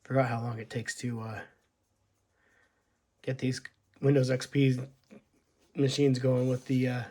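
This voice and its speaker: A man with a hoarse voice from being sick, casually thinking aloud with many pauses and fillers as he walks through an old laptop's setup and specs. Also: medium pitch, little pitch movement, warm tone. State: hoarse from illness. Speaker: tech video host. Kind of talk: casual unboxing walkthrough.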